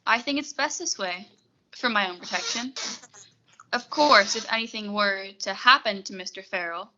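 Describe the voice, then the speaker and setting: A woman's voice: medium pitch, lo-fi sound, background noise, natural voice. A young woman acting a cool, formal, menacing blackmailer receptionist in a 1940s-style radio suspense play. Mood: cold, calculating. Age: young adult. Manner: formal, measured, polite menace. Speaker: high school theatre student actor.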